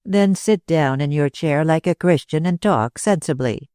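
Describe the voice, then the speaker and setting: A woman voicing a calm, curt young Englishman in a read-aloud story. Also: deep voice, measured pace, smooth, no echo, studio quality. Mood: cool. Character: cool-headed young Englishman.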